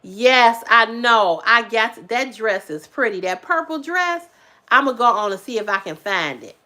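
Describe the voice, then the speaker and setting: A woman in her fifties hosting a casual livestream, talking emphatically to her viewers, repeating herself for effect, swearing freely and swinging between loud exclamations and low asides. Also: expressive, moderate pace. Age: 50s. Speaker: livestream host.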